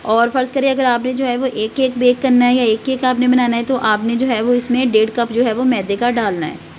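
A woman's voice: on the high side, little pitch movement, fairly quick, muffled recording, a little hiss or hum. Patient woman demonstrating a home cake recipe. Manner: patient, repeats fillers often, directs viewer to look. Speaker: home cooking channel host. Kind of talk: step-by-step cooking demonstration.

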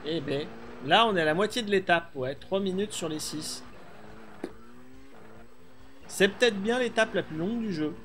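A man's voice: sits high, normal speed, pauses often, fairly bright tone, slight background noise. A middle-aged man streaming a rally game from a small room, chatting casually and thinking aloud with many fillers. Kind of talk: live gameplay chat.

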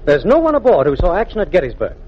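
An actor playing an anxious, deferential aide to a president in a 1940s-style radio drama. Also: male voice, sits high, wildly swooping pitch, fast, husky, exaggerated voice. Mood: urgent, puzzled. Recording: muffled old 1940s radio recording.

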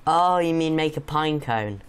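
A young man with a mildly croaky voice from a sore throat, bantering casually with his dad during a game. He teases and hesitates, filling gaps with ums, and speaks into a home gaming mic. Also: on the high side, expressive, measured pace. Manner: chatty, teasing, hesitant with frequent ums. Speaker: co-host playing a game with his father.

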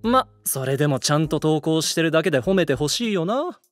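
Drama CD: laid-back schoolboy brawler male actor, rough casual talk, lively mid-range voice, soft music under. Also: a little high, a little fast, natural voice. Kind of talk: audio drama acting.

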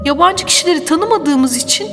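Çizgi filmde açık açık öğüt veren bilge bir figürü seslendiren bir kadın oyuncu. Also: female voice, very high-pitched, expressive, a little fast. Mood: instructive. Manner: didactic, clearly explaining. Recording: long reverb with music behind.

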